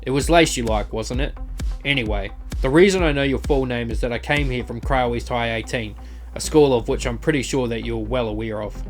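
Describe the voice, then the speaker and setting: A cool-headed teenage boy, played by a man, talks in measured, formal sentences with a dry edge. His voice sits at a middle pitch, with clear music underneath, as in a visual novel. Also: lightly acted. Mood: cool, matter-of-fact. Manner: composed, wordy, formal, dry. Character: calm, cool teenage boy, former clan member.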